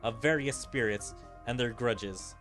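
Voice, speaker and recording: A man's voice: mid-range voice, a little slow, over music, lightly acted. A man voicing an analytical skill entity from a game, formal, clipped and matter-of-fact. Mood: flat, informative. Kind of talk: reading game dialogue aloud.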